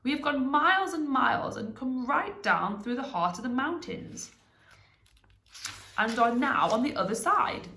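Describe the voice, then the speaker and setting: A woman's voice: sits high, animated, measured pace, creaky, pushed and bright, voice pushed up, full character voice. Woman reading as an emphatic old wizard, roomy echo. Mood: brisk, assured. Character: clever old male wizard, brisk, proud, commanding.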